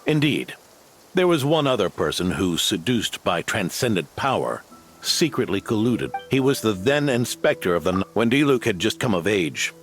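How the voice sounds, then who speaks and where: A man voicing a weathered old knight in a video game, wry and solemn, with a gravelly voice. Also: on the low side, sing-song, moderate pace. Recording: game music behind the voice.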